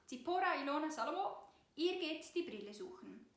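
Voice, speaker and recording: A woman voicing a helpful, bossy big sister mouse with a brisk, commanding manner in a children's story. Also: high voice, expressive, reverberant, pitched above the performer's own voice.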